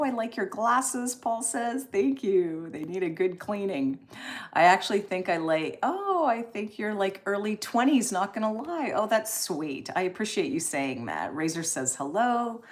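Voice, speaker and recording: A woman, hosting a casual live stream and chatting in an encouraging, expressive way as she reads and answers comments. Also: mid-range voice, measured pace.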